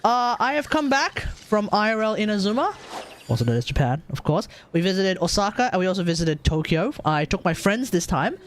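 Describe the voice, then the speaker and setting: A man's voice: high voice, big pitch swings, a little fast, slight background noise. A man with an Australian accent chatting casually and ramblingly as a livestream host. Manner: rambling, mixes in Japanese phrases.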